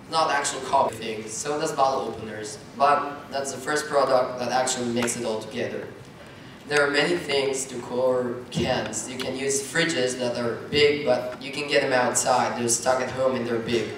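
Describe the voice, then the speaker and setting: A young man from Israel presenting a product pitch, eager, with filler words. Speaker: student presenter. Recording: echoey room, far from mic, noisy.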